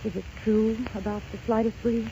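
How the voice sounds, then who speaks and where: Yearning, resolute wife in doomed romance, high voice, breathless 1930s radio melodrama, dull recording. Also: female voice, level tone, fairly quick, vocal fry, mellow, a little hiss or hum. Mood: anxious. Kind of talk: 1930s radio drama acting. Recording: muffled 1930s radio broadcast.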